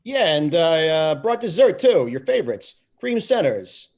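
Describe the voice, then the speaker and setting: A man reading an eager, awkward, hot-headed young guy in an amateur screenplay read, in a husky voice. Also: on the high side, big pitch swings, measured pace, darkish tone.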